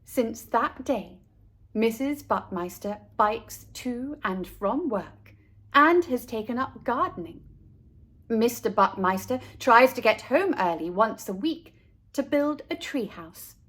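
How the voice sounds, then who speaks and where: A woman reading a picture book aloud for children in an animated storytelling style. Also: fairly high pitch, takes it slowly, breathy edge. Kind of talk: animated storybook narration for kids.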